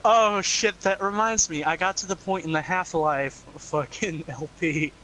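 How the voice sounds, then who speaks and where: A young man chatting excitably and swearing freely while co-commentating a game stream, breaking into silly voices. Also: high-pitched, unhurried, a little hiss or hum, low-quality recording. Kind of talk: casual gaming chat.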